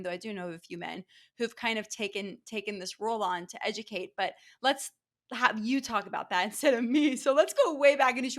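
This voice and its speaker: A woman's voice: medium pitch, a bit bright. A woman hosting a podcast interview, asking long, rambling questions with restarts and fillers. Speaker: podcast host. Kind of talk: conversational interview.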